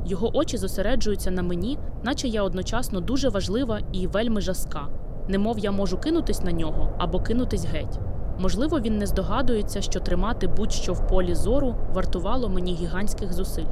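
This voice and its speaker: A woman narrating first-person fan fiction as a dazed, gently curious amnesiac. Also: medium pitch, fast talker, background noise, close to an everyday voice. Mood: curious, tender. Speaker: audiobook narrator.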